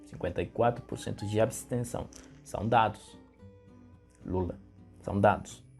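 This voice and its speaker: A man's voice: lowish voice, normal speed, vocal fry, a little mellow, faint music behind. A young man from Venezuela with a Venezuelan Spanish accent, a native Spanish speaker, giving emphatic political commentary straight to the viewer.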